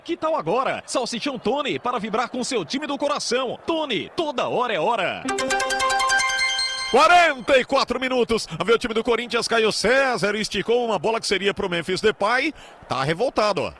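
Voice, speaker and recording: A man doing excitable live radio football commentary, stretching out key words. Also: high-pitched, a little hiss or hum. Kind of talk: live match commentary with sponsor reads.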